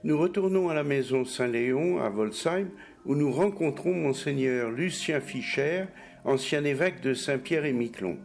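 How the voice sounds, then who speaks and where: A man's voice: on the high side, animated, normal speed, gravelly, a little mellow, faint music behind. A man introducing a documentary segment and asking interview questions, with a few hesitations.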